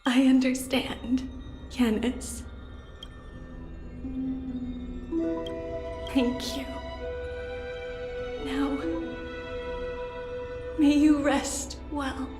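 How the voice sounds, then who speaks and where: A woman playing a solemn, resolute young heroine in a dramatic game cutscene, declaiming in measured phrases. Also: high-pitched, level tone. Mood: solemn, tender. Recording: echoey, with clear music behind.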